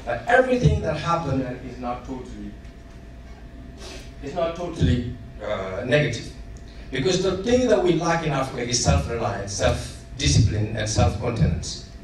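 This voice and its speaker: A man's voice: mid-range voice, big pitch swings, moderate pace. A man from Senegal in the audience, giving a formal comment at a panel with many fillers and building emphasis. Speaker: audience member asking a question. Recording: echoey hall, distant mic, noisy.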